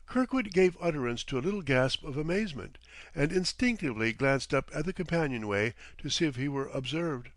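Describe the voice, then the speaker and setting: A man narrating a literary audiobook in deliberate, dramatic phrases. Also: on the high side, animated, no room sound.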